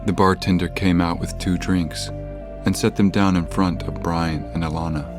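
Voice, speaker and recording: Man, deep voice, scripted story narration, audio drama, clear background music. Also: fairly even intonation, normal speed.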